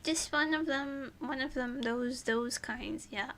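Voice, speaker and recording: A woman's voice: sits high, takes it slowly, a bit bright. A woman hosting a casual livestream chat, rambling and repeating herself in a playfully theatrical way, her voice strained from screaming and crying. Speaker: VTuber livestream host.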